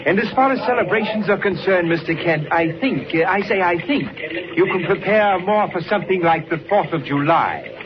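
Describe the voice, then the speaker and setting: An actor playing a genteel, unflappable elderly scientist, courtly and musing, in a 1940s radio serial. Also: male voice, sits high, expressive, moderate pace. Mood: musing, wry. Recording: muffled, noisy old 1940s radio recording.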